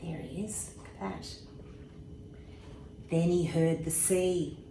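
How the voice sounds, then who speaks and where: A woman reads a children's bedtime story at a slow, unhurried pace. Her delivery is expressive, with small asides about the pictures. The room gives her voice noticeable echo, with faint noise behind it. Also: on the low side, gravelly. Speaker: storybook reader, emergency services commissioner. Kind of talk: bedtime story read aloud to young children.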